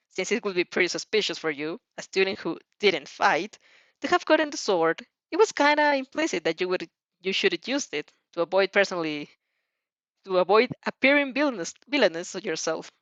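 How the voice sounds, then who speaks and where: Female fan fiction narrator, mid-pitched, informal read with small stumbles; slightly lo-fi home recording. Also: animated, fairly quick, no room sound. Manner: informal reading with stumbles and self-corrections. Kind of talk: second-person story narration read aloud.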